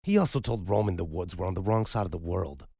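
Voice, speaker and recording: A man voicing a tired young woman medic, speaking in a raised, quick and clipped way. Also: sits low, expressive, mellow, no room sound, clean studio sound, voice pushed up. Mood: tense.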